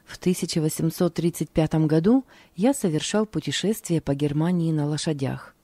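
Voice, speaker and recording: Low, mellow woman reading calmly. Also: moderate pace, no room sound. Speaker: narrator. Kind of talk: scripted reading of a religious diary.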